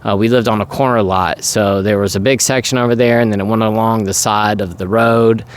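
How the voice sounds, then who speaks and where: A middle-aged man vlogging in a casual, rambling way, his voice raspy and going from allergies, with frequent throat clearing. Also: lowish voice, steady tone, fairly quick. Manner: rambling, conversational. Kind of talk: casual personal monologue.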